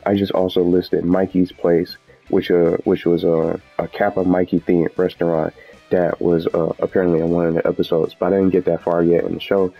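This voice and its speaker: A man's voice: low-pitched, creaky. A man giving casual, unscripted commentary as a gaming video host, rambling and often repeating and rephrasing himself. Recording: dull lo-fi mic with background music.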